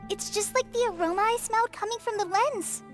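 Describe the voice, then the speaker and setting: A woman's voice: very high voice, brisk, faint music behind. A woman voicing a small, chirpy, childlike fairy companion, blunt and curious, in a video game cutscene. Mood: excited.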